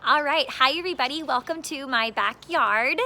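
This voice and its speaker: A woman's voice: sits high, normal speed, bright, forceful tone. A woman teacher giving children an upbeat read-aloud and gardening demonstration in simple terms.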